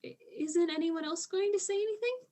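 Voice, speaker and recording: A woman's voice: very high voice, fairly quick, warm tone. A woman playing a guileless, tipsy alien trade spy in a comic mystery, earnest and muddled in manner.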